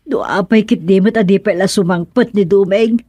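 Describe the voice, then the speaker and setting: A woman's voice: medium pitch, moderate pace, dark tone, breathy edge, no echo, natural voice. A woman with an Ilocano accent playing a fretful older aunt in a radio drama, worried and plaintive, sighing as she talks. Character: fretful older aunt anxious over her ailing husband.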